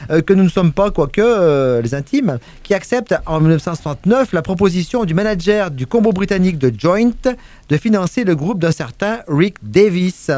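A man's voice: high voice, darkish tone, no room sound. A man presenting a music radio segment in French, chatting casually with many fillers, restarts and asides to his co-host.

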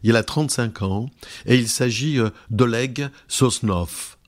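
A man hosting a radio program, introducing guests and reading their words in a slow, measured way with occasional hesitations. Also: mid-range voice. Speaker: radio host. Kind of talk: radio presentation, reading translated testimonies.

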